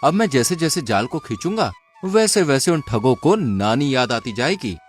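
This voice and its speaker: Man playing a confident, cunning young village man, animated. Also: medium pitch, moderate pace, breathy edge, faint music behind. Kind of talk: Hindi cartoon moral-story dialogue.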